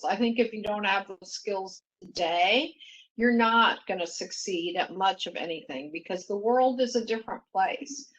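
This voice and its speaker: A middle-aged woman from Mexico, a native Spanish speaker, speaking as a panelist in a thoughtful, measured way with restarts. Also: mid-range voice, a bit bright, low-quality recording. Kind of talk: panel discussion.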